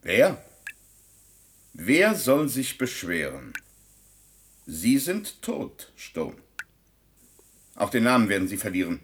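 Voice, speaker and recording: An actor playing a callous, impatient scientist doctor in a science-fiction radio play, clinical and brusque in manner. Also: male voice, lowish voice, wildly swooping pitch, takes it slowly, long pauses, fairly bright tone, slight background noise, heavily acted. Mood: cool, dismissive. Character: callous, impatient male scientist doctor, coolly self-justifying. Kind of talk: German science-fiction radio drama acting.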